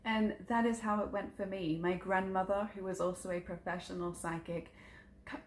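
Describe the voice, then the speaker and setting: A woman's voice: mid-range voice, steady tone, normal speed, a little hiss or hum. A woman around thirty, a psychic content creator, introducing herself in a chatty, earnest vlog and telling stories about her family and spirituality, with frequent ums. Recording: roomy echo with faint background ambience.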